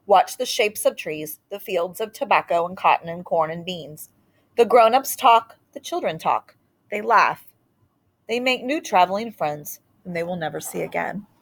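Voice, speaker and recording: A woman storyteller reading a picture book aloud expressively, in short deliberate phrases with dramatic pauses and the odd aside. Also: medium pitch.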